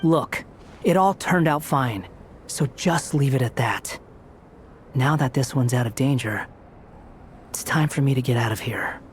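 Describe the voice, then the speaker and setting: A man's voice: medium pitch, measured pace, long pauses, slight background noise, lightly acted. A man voicing an aloof, sardonic young wanderer with a dry, dismissive manner in a video game. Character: aloof, sardonic young male wanderer, secretly loyal friend.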